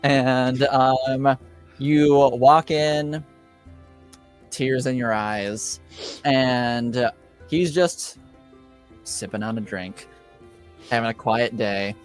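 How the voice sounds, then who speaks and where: A man working as game master, narrating a tabletop roleplaying session in halting phrases. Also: medium pitch, clean tone, faint music behind.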